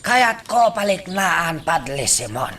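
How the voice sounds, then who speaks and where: A man's voice: sits high, very animated, slow pace, a little hiss or hum, full character voice. A man with an Ilocano accent playing a domineering, short-tempered, taunting crime boss in a radio drama. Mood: menacing. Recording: loud background music.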